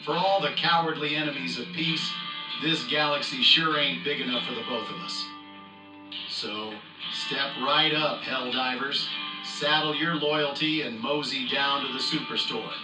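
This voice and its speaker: A man's voice: medium pitch, fairly even intonation, unhurried, roomy sound, recorded from a distance, music in the background, close to an everyday voice, rough recording. A man playing a folksy, swaggering cowboy salesman with an American Western drawl in a radio advertisement. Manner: hokey, swaggering, colourful salesman patter. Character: folksy cowboy pitchman for war bonds.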